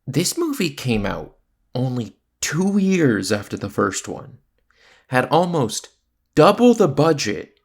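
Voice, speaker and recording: A man's voice: mid-range voice, big pitch swings, slow pace, creaky. A man narrating a scripted film review in a casual, wry, opinionated way. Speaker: video host, film reviewer. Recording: voice-over with faint music. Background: faint background music.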